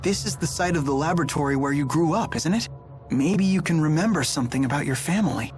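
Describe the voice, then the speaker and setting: A man's voice: fairly high pitch, quick pace, lightly acted. A man voicing a kind, thoughtful teenage boy in an anime game, earnest and inquisitive. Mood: curious. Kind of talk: anime game dub acting. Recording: music behind.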